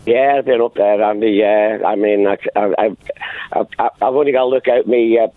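Hoarse guy calling in, lots of uhs, tinny dry phone line. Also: on the low side, steady tone, dry recording. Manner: rambling, halting with many fillers. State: sore throat, losing his voice. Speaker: caller. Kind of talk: phone-in call.

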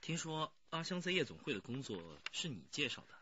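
Brisk, forceful male detective. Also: on the high side, very animated, dull audio, slight background noise. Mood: probing. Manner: brisk, pressing. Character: probing, sceptical police detective questioning a witness. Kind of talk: radio crime drama acting.

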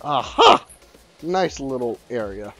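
A man casually commenting on his own gameplay, playful and laughing, with sudden excited yelps. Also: medium pitch, takes it slowly, soft background music. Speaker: gaming channel host.

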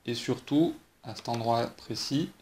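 Male ski instructor, mid-pitched, calm step-by-step voice-over explainer. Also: unhurried, slight background noise. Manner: patient, explains step by step with rhetorical questions. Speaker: ski coach. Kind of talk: instructional voice-over over slow-motion ski footage.

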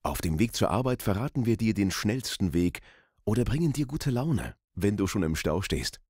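A man voicing a friendly, smooth radio station promo announcer with deliberate emphasis, close on a studio microphone. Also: lowish voice, big pitch swings, a little mellow, no room sound. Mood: friendly, upbeat. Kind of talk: scripted radio promo read.

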